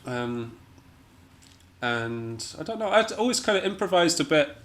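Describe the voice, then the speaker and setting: A man's voice: on the high side, very animated, measured pace, fairly bright tone. A man from Scotland chatting casually as an interview guest, in a hesitant, understated and self-deprecating way. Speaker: interview guest, jazz pianist and musician.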